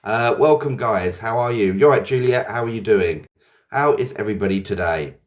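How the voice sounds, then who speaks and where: An English man runs a live-streamed English class. His voice sits slightly low, and he stays cheerful and chatty, greeting viewers one by one. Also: normal speed. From England. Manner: upbeat, greets viewers by name. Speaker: English teacher.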